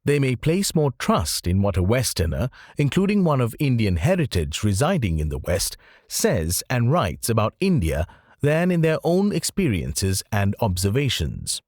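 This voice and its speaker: A man narrates a nonfiction audiobook from a script, phrasing each point carefully. His intonation moves widely up and down, and his voice has a husky edge. The sound is dry, with no room reflections. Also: medium pitch, wildly swooping pitch, moderate pace, darkish tone, no echo.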